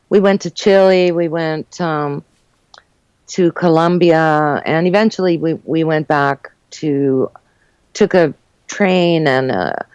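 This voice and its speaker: Older lady guest rambling through her life story, smooth clear voice, dry muffled lo-fi call. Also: low-pitched, no echo. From Uruguay, raised in New Jersey and New York. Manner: chatty, warm storytelling with frequent fillers and laughs. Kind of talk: casual interview chat.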